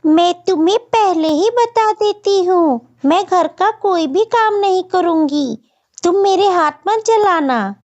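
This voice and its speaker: A woman's voice: very high-pitched, expressive, slow pace, a little mellow, muffled recording, pitched above the performer's own voice, exaggerated voice. A woman voicing a hurt, defiant little girl bird in a children's cartoon story, childish and plaintive.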